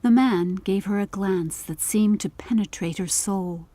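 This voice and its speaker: A woman narrating a scripted audiobook story in a measured, deliberate, literary manner. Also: medium pitch, dark tone, no echo.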